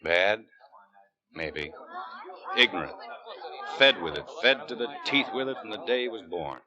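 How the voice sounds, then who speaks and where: An American man playing a world-weary police captain in a 1940s radio drama, narrating and questioning in a measured, dry way. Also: fairly low pitch, lively intonation, crowd noise. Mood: bitter. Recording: dull restored 1940s radio recording, music.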